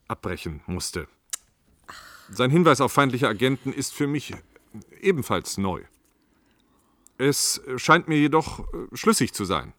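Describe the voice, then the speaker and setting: An actor playing a cautious, diplomatic politician in a German radio play, choosing formal words with some hesitation. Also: male voice, fairly low pitch, fairly bright tone, no echo.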